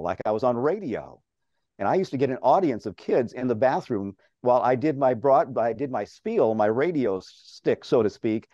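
A man's voice: medium pitch, mellow, no room sound. An older man, a longtime radio broadcaster, chatting animatedly as an interview guest over a video call, laughing often. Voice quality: strong voice. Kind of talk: casual storytelling. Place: home office. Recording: dull video-call audio.

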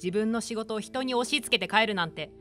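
A woman's voice: on the high side, a little fast, bright, forceful tone, faint music behind. A woman acting a strict but encouraging primary school teacher, firm and polite, in a voice drama. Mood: stern.

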